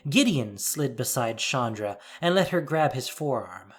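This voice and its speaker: Audio fiction narrator: mid-pitched, animated intonation, expressive scripted read over a light music bed. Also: unhurried.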